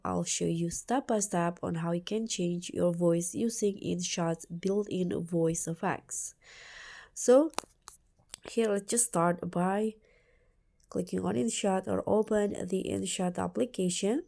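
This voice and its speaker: A woman presents a step-by-step app tutorial at an unhurried pace. Her voice sits at a medium pitch with a noticeably creaky edge and a soft, dark tone. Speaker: tutorial host.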